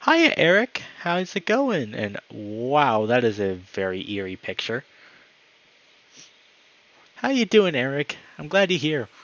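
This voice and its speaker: A man's voice: mid-range voice, takes it slowly, muffled recording. A man speaking as a live streamer, moving between friendly chat and reading a story aloud. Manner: upbeat, friendly, excitable when greeting viewers.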